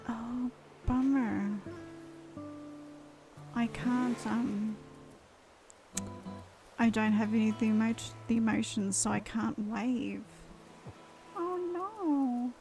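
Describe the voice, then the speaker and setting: A woman's voice: on the high side, takes it slowly, long pauses, warm tone, faint music behind, low-quality recording. A woman chatting casually on a game live stream, losing her voice and rambling as she thinks aloud.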